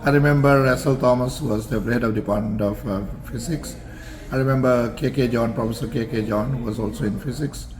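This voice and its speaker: A man's voice: mid-range voice, steady tone, darkish tone, a little hiss or hum. Older man recalling his college days. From Singapore. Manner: measured, formal, many fillers and restarts. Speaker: interview guest, alumnus. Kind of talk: reminiscing interview answers.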